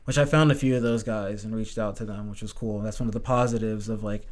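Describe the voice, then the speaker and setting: A man's voice: on the low side, steady tone, fast talker. A man in casual podcast chat, rambling with constant "like" fillers and casual swearing.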